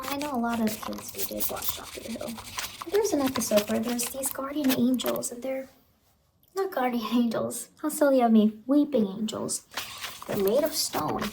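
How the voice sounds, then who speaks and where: A high female voice with a crackly fry , a woman acting out a sinister, playful clown in horror ASMR. She murmurs softly and coaxingly, often in whispers. The recording has clear noise around it. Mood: playful musing. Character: sinister playful immortal clown woman who harvests children's blood.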